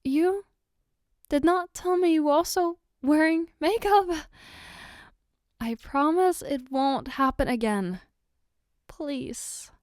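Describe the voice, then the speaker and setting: Woman acting a high-voiced, slow, loving girlfriend. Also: lively intonation, dry recording, studio quality. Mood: amused, apologetic. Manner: tender, coaxing, soft giggles between phrases. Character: loving, reassuring, playfully teasing adult girlfriend. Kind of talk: ASMR roleplay, intimate one-sided dialogue.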